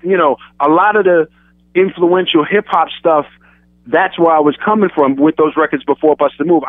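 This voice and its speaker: A man's voice: on the high side, no room sound. A man with a light New York accent, the guest on a radio show, talking casually with many restarts. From born in London, raised in Queens, New York. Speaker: guest rapper. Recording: tinny phone-like sound.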